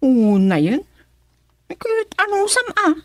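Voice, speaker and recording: A woman's voice: high-pitched, very animated, unhurried, no echo, studio quality. A woman with an Ilocano accent playing a nosy village gossip in a radio comedy-drama, inquisitive and colloquial. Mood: curious.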